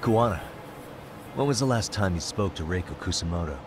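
Very deep-voiced, decisive detective. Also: male voice, expressive, fairly quick, over music. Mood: businesslike. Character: cool, decisive male detective in his thirties. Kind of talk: dramatic video game dub acting.

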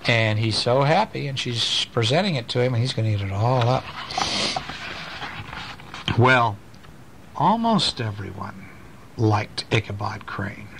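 Guy reading a bedtime story to his kid, expressive, stops to explain stuff, medium pitch, bit of noise on the recording. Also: measured pace, creaky, long pauses. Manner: expressive, breaking off to explain and ask the child questions. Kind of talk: storytelling read aloud to a small child, with asides. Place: at home, reading to a child. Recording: noisy amateur home recording.

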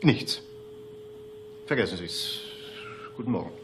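A man's voice: lowish voice, animated, takes it slowly, gravelly, noisy background, heavily acted. An actor playing a pompous, touchy police director in a radio play, officious and indignant in his delivery. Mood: indignant, evasive. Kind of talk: German radio play acting.

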